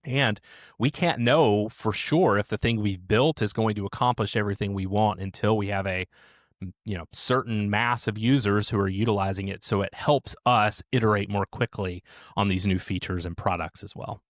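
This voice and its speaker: A man, a support team leader, answering interview questions in a measured, explanatory way on a remote call. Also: fairly low pitch, no room sound, clean studio sound. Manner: measured, explanatory, slows down on key points.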